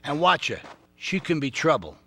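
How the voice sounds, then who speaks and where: A man playing an older mob boss in a game cutscene, gruff and commanding. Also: fairly high pitch, very animated, moderate pace, pushed and bright, soft background music, full character voice. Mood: bossy. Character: older male mob boss, bossy and crude. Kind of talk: video game cutscene acting.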